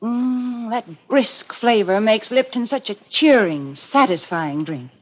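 A woman's voice: fairly high pitch, animated, takes it slowly. An actress playing a prim, chatty homemaker in 1940s radio advertising style, as the spokeswoman of a mystery show. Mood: cheerful, persuasive. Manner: chiding, then chatty and enthusiastic. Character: prim, scolding homemaker touting a product. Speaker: commercial spokeswoman and host's foil. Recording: dull old radio broadcast.

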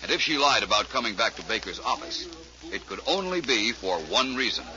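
An American actor playing a confident, smooth-talking private detective in 1940s radio drama, speaking in a deliberate, polite, wry way. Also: male voice, medium pitch, animated, a crowd in the background, natural voice. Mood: patient explaining. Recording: dull, noisy 1940s radio recording.

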